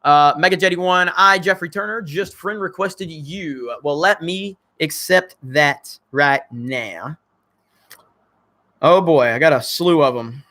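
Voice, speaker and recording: A man's voice: a little high, slow pace, bright, forceful tone. A man with a crackly voice hosting a casual livestream, reading viewer comments and answering in a blunt, joking way with some swearing. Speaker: channel host.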